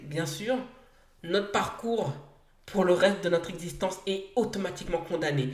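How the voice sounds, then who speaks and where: A woman from France talks through her podcast episode in earnest, emphatic bursts. Her voice sits mid-range for a woman, and the sound rings off a roomy, echoey space. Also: medium pitch. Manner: earnest, emphatic, builds points in short phrases with some hesitations. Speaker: podcast host. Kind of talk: solo podcast talk.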